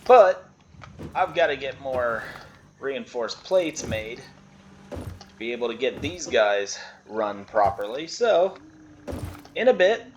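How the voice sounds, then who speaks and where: A man's voice: medium pitch, sing-song, slow pace, long pauses, a little hiss or hum. A man giving casual, chatty commentary while he plays a video game, laughing often. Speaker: gameplay creator.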